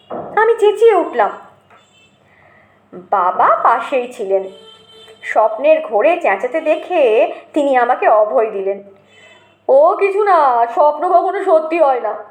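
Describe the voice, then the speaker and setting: A woman with a high voice narrates a literary memoir. Her delivery is expressive, scripted storytelling, and the recording has a clear roomy echo.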